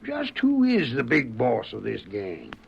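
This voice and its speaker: An actor playing a crooked small-town sheriff in a Western radio drama, with a gravelly, husky voice and folksy frontier dialect. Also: male voice, medium pitch, wildly swooping pitch, a little slow, heavily acted. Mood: deferential, curious. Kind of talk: 1930s-40s Western radio acting. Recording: muffled old radio recording.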